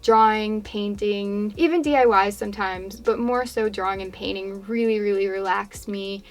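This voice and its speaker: A young woman with a congested, fading voice, chatting in a rambling, low-key way as she narrates her painting video. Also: normal speed. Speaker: craft YouTuber. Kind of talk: casual voiceover chat over a painting demo.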